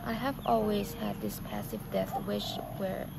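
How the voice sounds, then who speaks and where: A Thai woman in her twenties with a Thai accent, telling her own story softly and slowly to a camera outdoors, with many fillers. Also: mid-range voice, sing-song, vocal fry, very noisy. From Thailand. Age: 20s. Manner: slow, soft and reflective, with many fillers. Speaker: vlogger. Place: outdoors on a lawn. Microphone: camera on a tripod, seated facing it.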